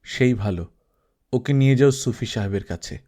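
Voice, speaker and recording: A man's voice: mid-range voice, moderate pace, mellow, lightly acted. A man voicing a reproachful young woman.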